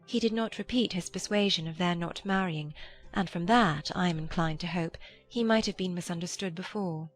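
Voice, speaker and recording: A woman plays a mild Regency elder sister in an audiobook drama, her voice on the low side. She is gentle, forgiving and measured, with faint music underneath. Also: natural voice. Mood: troubled, gentle.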